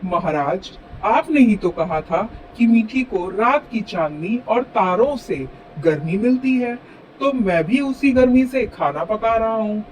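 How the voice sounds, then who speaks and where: A clever, courteous villager from an animated moral tale, acted by a man, speaking calmly and slowly. The recording is a little distant, with faint noise. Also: high-pitched. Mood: courteous, sly. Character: clever, calm, polite village man in a fable.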